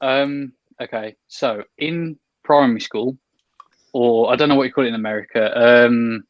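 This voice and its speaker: Young man telling a halting story. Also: medium pitch, dry recording, highs cut off. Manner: halting, restarts often while telling a story. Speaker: podcast guest. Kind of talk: casual storytelling on a video call. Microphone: video call.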